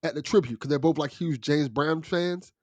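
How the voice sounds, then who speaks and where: A man's voice: a little high, normal speed, darkish tone, no echo, dull audio. A man talking casually as a guest on a podcast, discussing and explaining things conversationally.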